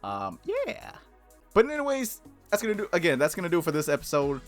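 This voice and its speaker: A man's voice: fairly high pitch, wildly swooping pitch, fairly quick, faint music behind. A man hosting a gaming video, reading aloud and chatting casually in a playful, exclamatory way that often breaks off mid-thought. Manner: playful, self-interrupting, exclamatory. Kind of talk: casual let's play chat and reading aloud.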